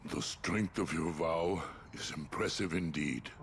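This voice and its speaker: An actor playing a solemn, brooding sorcerer and older brother in a video game, speaking formally and gravely over music. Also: male voice, low voice, unhurried. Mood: stern, grave. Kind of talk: theatrical video game cutscene acting.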